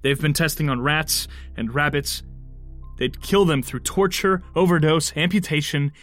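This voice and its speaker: A man's voice: on the high side, fairly even intonation, normal speed, fairly bright tone, faint music behind, lightly acted. An actor playing a bitter, sarcastic, foul-mouthed henchman of a ruthless boss in an audio drama. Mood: grim, explaining. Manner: sardonic, profane.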